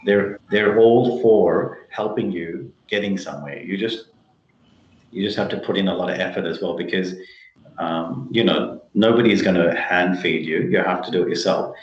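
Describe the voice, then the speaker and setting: A man's voice: low voice, moderate pace. A man answering interview questions on a video call, explaining things emphatically in a conversational way. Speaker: interview guest, tech company chief executive. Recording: muffled, roomy video call audio.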